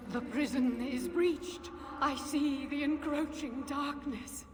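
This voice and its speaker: A woman's voice: sits high, fairly even intonation. A woman voicing an eerie female statue spirit that speaks ominous prophecies in a fantasy video game. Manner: slow, ominous pronouncements. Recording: long echoing hall, noisy.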